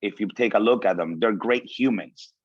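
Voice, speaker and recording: Low-voiced man in dull, lo-fi sound. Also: normal speed, breathy edge, no room sound. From Venezuela. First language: Spanish. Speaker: guest speaker. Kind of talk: informal motivational talk to students. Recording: dull, lo-fi audio.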